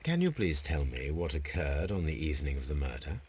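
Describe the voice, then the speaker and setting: A man playing a composed, courteous gentleman detective in a video game, speaking in a formal, measured, probing way. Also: very deep voice, sing-song, a little hiss or hum. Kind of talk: scripted game dialogue.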